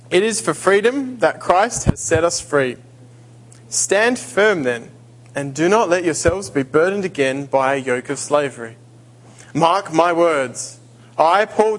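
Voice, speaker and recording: A man's voice: on the high side, poor audio. A man preaching as a visiting guest in a church, hesitant and full of fillers and restarts, then reading scripture aloud. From Australia. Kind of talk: sermon. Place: church sanctuary. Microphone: handheld or stand mic.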